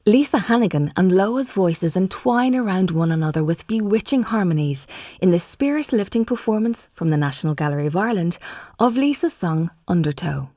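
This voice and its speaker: A woman reading a scripted voice-over introduction in a smooth, descriptive manner. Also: fairly low pitch, lively intonation, fairly quick, warm tone, no room sound, clean studio sound.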